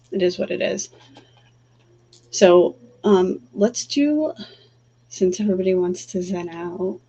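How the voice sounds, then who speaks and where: Soft-spoken, halting woman streamer. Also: mid-range voice, vocal fry, slightly rough. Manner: soft, calm, halting with many fillers. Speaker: livestream host and energy healer. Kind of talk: casual livestream chat, then guided breathing. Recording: dull lo-fi stream audio with faint hum.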